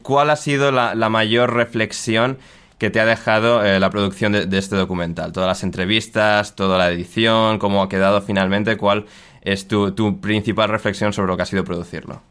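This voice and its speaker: A man's voice: on the low side, fairly even intonation, moderate pace, a bit bright, smooth. A man hosting a podcast interview, chatty and rambling as he asks questions. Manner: chatty, rambling with fillers and restarts. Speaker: podcast host.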